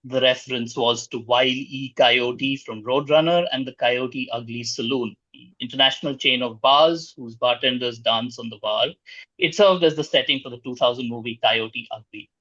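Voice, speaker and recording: A man hosting a quiz, reading out questions in a formal, measured way. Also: mid-range voice, bright, forceful tone, no room sound, highs cut off.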